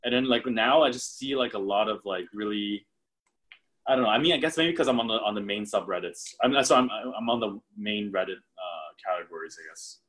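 A man's voice: on the low side, fairly quick, a bit bright. A man chatting casually as podcast host, rambling with many fillers and restarts. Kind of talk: casual chat.

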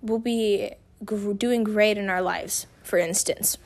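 Young female podcast host, a bit raspy from illness, candid rambling delivery. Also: medium pitch, steady tone, slow pace, a little mellow. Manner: candid, rambling, with frequent restarts. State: raspy from feeling unwell. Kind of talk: casual personal podcast monologue.

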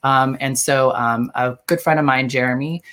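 A man hosting an online interview, soft-spoken and hesitant, with many ums, heard over a video call. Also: medium pitch, steady tone, moderate pace. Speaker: host. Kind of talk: casual interview chat.

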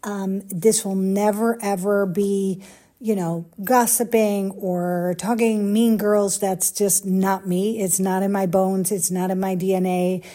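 A woman's voice: medium pitch, level tone, takes it slowly, warm tone. A middle-aged Dutch woman with a Dutch accent hosting a solo podcast, chatting casually and encouraging her listeners directly. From Netherlands. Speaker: podcast host.

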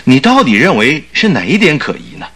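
A stubborn, skeptical doctor in a radio play. His bright voice rises and falls in animated swings as he insists and protests theatrically. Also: fairly high pitch, a little fast, husky, heavily acted. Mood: dismissive, questioning. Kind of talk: Chinese radio drama acting.

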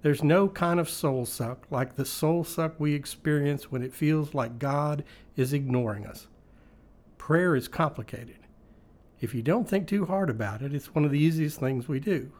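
Man reading a reflective devotional, higher-pitched voice. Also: moderate pace, a little mellow. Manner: reflective, posing rhetorical questions. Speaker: devotional reader.

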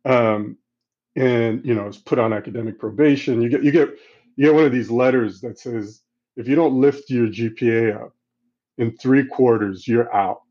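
A middle-aged man who grew up in Central Africa, answering interview questions in a halting, reflective way with many restarts. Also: mid-range voice, warm tone. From Congo, Central Africa. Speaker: guest. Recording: slightly dull, lo-fi sound.

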